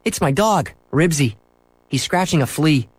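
A man's voice: on the high side, animated, a little slow, husky, no echo, voice pushed up. A man voicing an eager young boy in a children's storybook, earnest and pleading.

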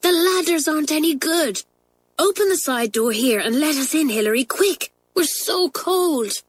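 A woman voicing Pat, an eager, quick-witted schoolgirl who talks in urgent whispers. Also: high-pitched, animated, dry recording, pitched above the performer's own voice.

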